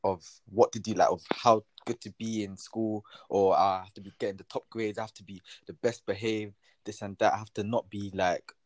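A man's voice: fairly low pitch, level tone, normal speed, dry recording. A seventeen-year-old man, chatting casually as a guest. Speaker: podcast guest, student. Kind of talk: casual chat.

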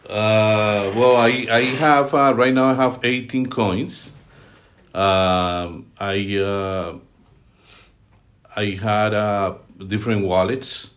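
A middle-aged Colombian man with a Colombian Spanish accent and a very low voice, answering podcast questions. He talks haltingly, filling gaps with "uh" and restarting his sentences. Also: steady tone, fairly bright tone. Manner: halting, many fillers and restarts. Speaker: guest, team leader. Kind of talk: podcast interview.

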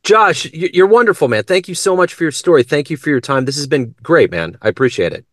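A man's voice: a little high, animated, fast, fairly bright tone, dry recording, studio quality. A man hosting an interview show in a casual, conversational way.

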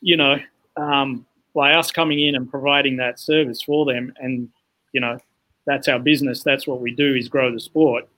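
A man's voice: mid-range voice, fairly even intonation, normal speed, pushed and bright, low-quality recording. A man born in Zambia and raised in England, a guest explaining his work at length in a casual podcast interview, with frequent "you know" fillers. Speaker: guest, founder of a futsal organisation.

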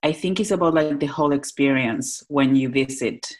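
A woman chef from Northwest Argentina with an Argentine Spanish accent, chatting in an interview with many pauses and fillers. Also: rumbling low. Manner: hesitant, many fillers, warm laughs. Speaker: chef and cafe owner. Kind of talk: casual interview chat.

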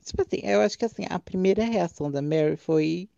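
A woman's voice: on the low side, fast talker, creaky, dark tone, no echo, highs cut off. A Brazilian woman co-hosting a casual chat, gushing and trailing off with fillers. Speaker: podcast co-host. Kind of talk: casual chat reviewing a drag show.

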